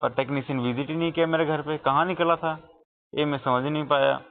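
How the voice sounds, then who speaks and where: A tinny phone recording carries the fairly high voice of an Indian man. He is a customer making a complaint. He presses his point insistently and repetitively, sounding aggrieved. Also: fairly even intonation, brisk. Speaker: customer calling support with a complaint.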